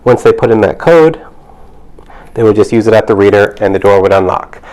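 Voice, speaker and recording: Man, low voice, product demonstrator, step-by-step explanations, instructional delivery. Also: expressive, a little fast, darkish tone, low-quality recording.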